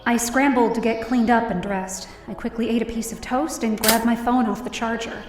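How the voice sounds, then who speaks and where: A woman's voice: fairly high pitch, steady tone, moderate pace, roomy sound, far from the mic, music in the background, lightly acted. A woman playing an emotional young woman who narrates her own story in an audio drama. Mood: urgent narration. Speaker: first-person narrator and lead.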